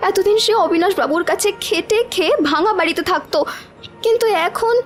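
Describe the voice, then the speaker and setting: A woman's voice: extremely high pitch, brisk, faint music behind. A woman voicing a desperate village wife in a cartoon, pleading insistently and deferentially. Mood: earnest pleading. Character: desperate, pleading village wife defending her jailed husband. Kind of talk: cartoon voice acting.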